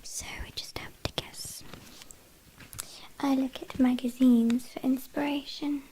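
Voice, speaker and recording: A British woman playing a haughty, condescending vampire kidnapper in a breathy, whispered ASMR roleplay, with a dryly teasing manner. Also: high-pitched, flat delivery, dark tone, low-quality recording. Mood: condescending, menacing. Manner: slow, disdainful, dryly teasing.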